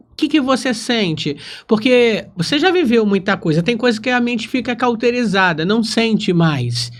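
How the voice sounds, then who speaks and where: A man with a Brazilian accent hosting a casual, conversational interview. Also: extremely high pitch. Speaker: podcast host, interviewer.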